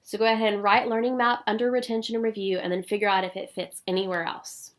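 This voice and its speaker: A woman with a stuffy nose from a cold, giving a recorded professional development talk for teachers with clear, paced explanation and frequent "um"s. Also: mid-range voice, steady tone, fast talker. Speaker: instructional coach.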